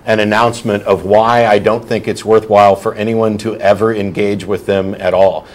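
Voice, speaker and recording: Blunt man hosting a call-in show, low-ish voice, argues his point and explains at length. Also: flat delivery, normal speed, darkish tone. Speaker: host.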